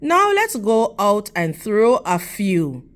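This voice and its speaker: A woman voicing a hopeful, reassuring father in a storybook, steady and encouraging. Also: mid-range voice, slow pace.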